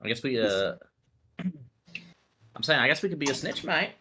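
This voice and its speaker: A man's voice: mid-range voice, sing-song, unhurried, noisy background. A man playing a tabletop roleplay game, chatting in a hesitant, matey, casual way.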